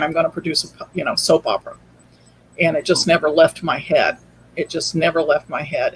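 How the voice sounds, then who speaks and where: A woman's voice: deep voice, a little hiss or hum, poor audio. A woman speaking as an interview guest, telling her story in a casual, conversational way. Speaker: podcast guest, audio drama producer.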